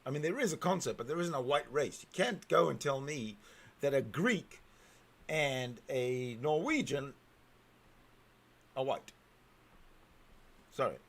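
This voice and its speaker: A man hosting a talk show, commenting on a clip and jumping in with emphatic, sometimes halting remarks. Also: mid-range voice. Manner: animated, emphatic, swings loud and soft. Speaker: show host. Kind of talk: commenting over a played clip.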